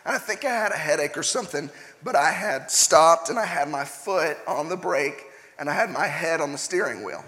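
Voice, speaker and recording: A young man giving a casual sermon with a personal story, hoarse from losing his voice, talking in a chatty way with many "uh" fillers. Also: fairly high pitch, animated, normal speed, pushed and bright, long reverb tail. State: hoarse, partly lost voice. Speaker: preacher.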